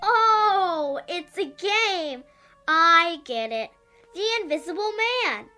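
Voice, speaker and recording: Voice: very high voice, expressive, takes it slowly, sharp tone, soft background music, exaggerated voice. A performer playing a sweet, trusting little girl in a radio drama, childlike and eager. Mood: puzzled, playful.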